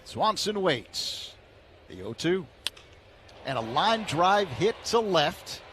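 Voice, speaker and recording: A man with a fairly high voice calling baseball play-by-play for radio. He keeps an even, measured pace and lifts his voice when the action builds. Faint noise sits under the broadcast. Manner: measured, rises with excitement on big plays. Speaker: play-by-play announcer.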